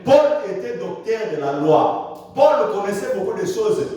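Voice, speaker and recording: A man's voice: very animated, a little slow. Man preaching with big swings in an echoey church. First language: French. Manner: preaching with dramatic swings in pitch and loudness, frequent hallelujahs. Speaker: pastor. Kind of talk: sermon. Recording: echoey church hall, distant mic, faint noise.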